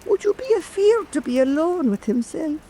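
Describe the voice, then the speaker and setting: A man voicing a high, lilting Irish countrywoman. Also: very animated, moderate pace, warm tone. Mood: hospitable. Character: island wife, a sly newly widowed countrywoman hosting a stranger.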